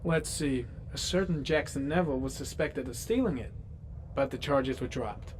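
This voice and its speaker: A man playing a calm, methodical detective in a video game, thinking aloud in deliberate, clipped, analytical phrases. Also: mid-range voice, a little hiss or hum, lightly acted. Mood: analytical, focused. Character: calm, methodical male detective profiling a killer. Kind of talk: video game voice acting, investigative monologue.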